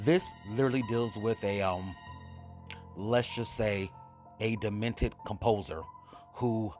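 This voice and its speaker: A man hosting a vintage radio horror show, introducing it with deliberate, dramatic pauses and casual asides. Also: lowish voice. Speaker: host of old-time radio horror show.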